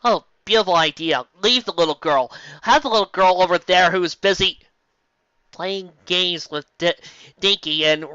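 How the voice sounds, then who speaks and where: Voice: mid-range voice, normal speed, bright, forceful tone, clean tone, no room sound, highs cut off. A sarcastic, exasperated commentator reading fan fiction aloud and breaking off into theatrical asides. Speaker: reader and commentator.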